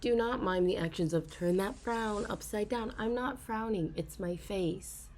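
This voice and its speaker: A young woman in an ASMR role-play, confiding softly to a listener, giggling often and halting with restarts. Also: medium pitch, slight background noise. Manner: soft, giggly, self-conscious, halting with many restarts.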